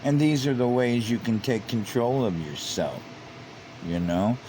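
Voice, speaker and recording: A man's voice: medium pitch, animated, measured pace, dark tone, a little hiss or hum, low-quality recording. A man commenting on online comments, reading them out and repeating himself as he grows heated and emphatic. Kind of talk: casual commentary.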